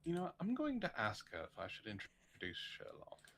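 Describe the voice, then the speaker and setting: A man's voice: on the high side, huge pitch swings, normal speed, a bit bright, slight background noise. A man co-hosting a live stream, dryly teasing and putting on mock menace. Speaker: stream co-host. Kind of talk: playful banter on a live stream.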